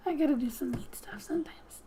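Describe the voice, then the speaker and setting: High, soft-spoken woman reading in ASMR style. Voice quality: soft, breathy, often whispery. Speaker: gaming ASMR host.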